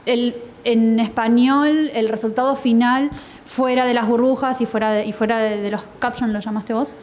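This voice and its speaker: A woman's voice: a little high, steady tone, moderate pace, darkish tone. A woman with an Argentine accent answering questions as a panelist, speaking casually with many restarts and fillers. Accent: Argentine Spanish. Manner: informal, hesitant with many restarts. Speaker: panelist translator. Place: book fair hall.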